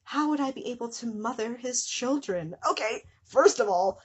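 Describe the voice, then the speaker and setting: A woman's voice: a little high, lively intonation, normal speed, husky. A woman hosting a comedy show, reading fan fiction dramatically with incredulous, giggly asides. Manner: breaks into giggles and incredulous commentary.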